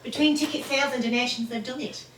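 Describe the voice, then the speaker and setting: An actress with a Northern English accent playing a caring, worried adult daughter in a stage drama. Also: female voice, high voice, steady tone, quick pace, fairly bright tone, a little hiss or hum. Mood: pleased. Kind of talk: theatrical stage acting. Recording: echoey theatre, distant mic.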